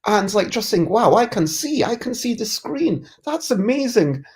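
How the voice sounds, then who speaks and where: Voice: medium pitch, very animated, normal speed, a little mellow. A streamer with a stuffy cold, musing in casual rambling chat and reading out viewer comments. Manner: musing, easygoing, self-interrupting. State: congested with a cold. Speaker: streamer hosting a chat show.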